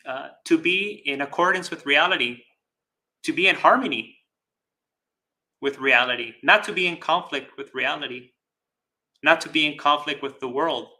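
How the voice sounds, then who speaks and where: Bright, forceful man teaching Buddhism. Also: fairly high pitch. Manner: deliberate, pausing, repeating phrases for emphasis; checks in with rhetorical "right?". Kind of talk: dharma talk with live chat questions.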